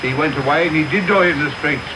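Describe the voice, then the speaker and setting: An actor playing a callous, self-important workhouse beadle with an English accent, talking pompously in a radio period drama. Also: male voice, fairly high pitch, quick pace, close to an everyday voice. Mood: scornful relish. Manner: pompous, wordy storytelling. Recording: very noisy medium-wave radio recording.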